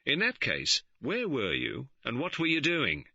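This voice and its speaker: A man plays a stolid, respectable police superintendent in middle age who speaks with measured, dry insistence. His voice is bright and a touch raised. The recording is dry and dull. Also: medium pitch, wildly swooping pitch, gravelly, breathy edge, no room sound, voice pushed up, heavily acted.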